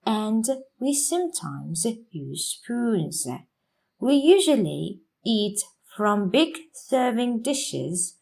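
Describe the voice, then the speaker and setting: A woman English teacher reading a practice passage aloud for learners, enunciating deliberately word by word. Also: mid-range voice, darkish tone.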